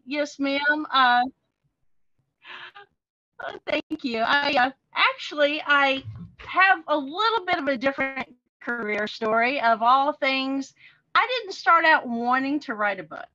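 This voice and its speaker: A woman's voice: high-pitched, expressive, takes it slowly, sharp tone, no echo, highs cut off. A woman with a Texan accent, a guest author chatting in an interview and laughing often. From Texas, USA. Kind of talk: casual interview chat.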